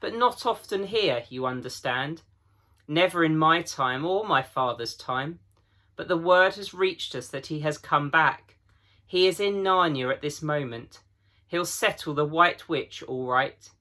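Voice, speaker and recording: A man voicing a gruff, proud old talking beaver in a children's storybook, folksy and emphatic. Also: on the high side, lively intonation, a little slow, bright, forceful tone, pitched above the performer's own voice.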